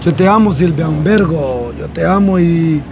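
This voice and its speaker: A man's voice: a little high, moderate pace, crowd noise. A young man with a Salvadoran accent talking in a rambling, emphatic, slangy way in a casual street interview. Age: young adult. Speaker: interview guest, former footballer living on the street. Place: outdoors on a city street at night. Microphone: clip-on lavalier. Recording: noisy street with passing traffic.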